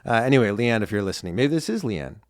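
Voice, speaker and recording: A man's voice: fairly low pitch, big pitch swings, fast talker, no room sound. A young man co-hosting a casual podcast, gushing and enthusiastic, chatting in a studio. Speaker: podcast co-host.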